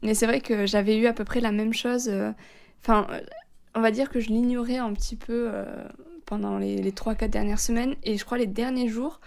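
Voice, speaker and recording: A young woman from France hosting a podcast, talking in a relaxed, hesitant way with many pauses. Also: fairly high pitch, vocal fry. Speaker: podcast host. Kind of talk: casual interview chat.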